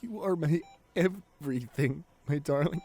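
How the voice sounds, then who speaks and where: High-voiced actor as a devoted, emotional boyfriend. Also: male voice, warm tone, husky. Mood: tender, hopeful. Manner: tender, halting, emotional delivery with repeated phrases. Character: devoted, guilt-ridden boyfriend pleading at comatose lover's bedside. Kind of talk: intimate ASMR roleplay acting.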